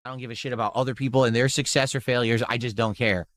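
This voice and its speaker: A man's voice: mid-range voice, fairly even intonation, fast talker, a bit bright, dry recording, studio quality. A man in his forties streaming, answering chat in a blunt, defensive way and swearing casually. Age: 40s.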